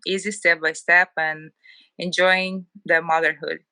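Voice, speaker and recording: A woman's voice: on the low side, flat delivery, unhurried, pushed and bright, no echo. A woman in her thirties from Ukraine with a Ukrainian accent, chatting as an interview guest with lots of "like" fillers. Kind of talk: casual interview chat.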